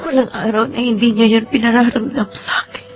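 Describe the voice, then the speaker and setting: An actress with a Filipino accent playing a restrained, pained young wife of a soldier in a radio drama. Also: female voice, a little high, fairly even intonation, fairly quick, loud music behind the voice, lightly acted. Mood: grieving, subdued.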